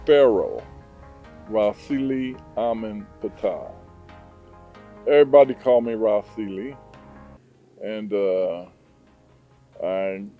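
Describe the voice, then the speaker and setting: A man from Detroit, a hotel owner, answering interview questions in an earnest, unhurried way with frequent fillers. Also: on the low side, warm tone. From Detroit, USA. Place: hotel in Zanzibar.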